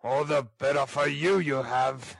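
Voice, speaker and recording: Savage, ill-tempered criminal played by a male reader, barking and growling in a storybook, pushed up and forceful. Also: fairly high pitch, slow, gravelly, no echo, pitched above the performer's own voice, heavily acted, low-quality recording. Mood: sneering, angry. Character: brutal, ill-tempered housebreaker, savage and impatient man.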